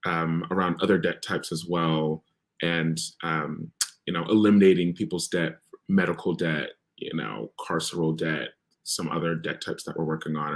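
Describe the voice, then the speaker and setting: Deep-voiced upbeat man organizer. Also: a bit bright. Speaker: debt organizer. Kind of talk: panel introduction. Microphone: video call.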